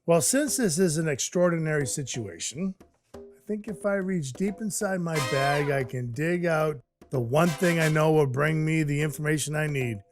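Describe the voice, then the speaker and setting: A man playing a cynical, hard-bitten Icelandic dwarf who works for Santa, narrating an audio drama in a wry, deadpan, formal way. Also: on the high side, normal speed, natural voice. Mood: coaxing. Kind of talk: noir-like first-person audio drama narration and dialogue. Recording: music behind the voice.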